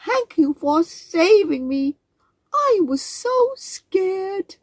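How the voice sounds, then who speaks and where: A tiny squirrel with a very high, squeaky, wobbling voice, close to tears. A woman acts him out in a storybook reading. The sound is close and dry. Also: big pitch swings, very slow, a little mellow, no echo, heavily acted. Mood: tearful. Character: small scared male squirrel, squeaky and tearful.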